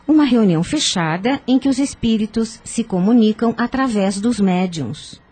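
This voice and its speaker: A woman playing a calm, wise spiritist counsellor in a radio drama, with measured, gentle, didactic delivery. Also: fairly high pitch, husky.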